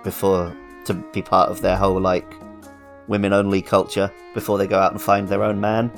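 A man's voice: low-pitched, level tone, moderate pace, creaky, soft background music. A man co-hosting a casual video game podcast, chatting informally. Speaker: podcast co-host.

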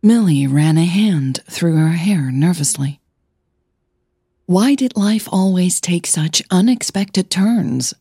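A woman narrator reads scripted fiction slowly and deliberately. Her voice is very husky and slightly low. It is recorded close and dry. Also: animated, mellow, no room sound. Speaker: podcast host and narrator.

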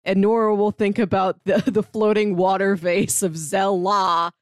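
A woman's voice: mid-range voice, flat delivery, a little slow, no echo, clean studio sound. A woman co-hosting a podcast, discussing a book in a casual, chatty way. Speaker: podcast co-host.

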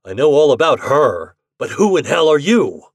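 A man's voice: medium pitch, expressive, measured pace, no echo, voice pushed up. Man playing a grim, menacing hunted man. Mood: cold, suspicious. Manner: cold, grim, thick hushed tone breaking into sharp demands.

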